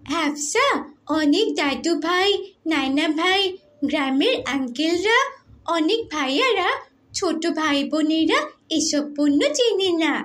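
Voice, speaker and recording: A woman's voice: sits high, animated, takes it slowly, fairly bright tone, full character voice. A woman voicing an earnest small child in a cartoon, speaking in an instructive, emphatic way. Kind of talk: cartoon dialogue.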